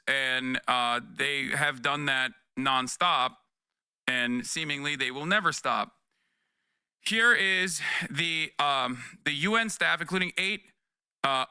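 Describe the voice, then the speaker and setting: A man with a fairly high, very bright and crisp voice commentates on a livestream. He speaks bluntly and emphatically, mixing news reading with heated reaction. The sound is dry and close. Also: dry recording. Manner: emphatic, sweary, blunt, repeats points for emphasis.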